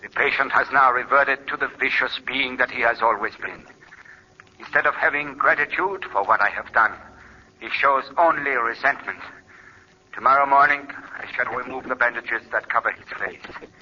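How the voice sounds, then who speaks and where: Grave, sharp-voiced old-radio scientist. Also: male voice, mid-range voice, a little hiss or hum. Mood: bitter dread. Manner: solemn, measured, portentous. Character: grave, regretful scientist doctor reading his notebook entries. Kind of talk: 1940s radio drama acting. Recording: old radio recording, telephone-like band.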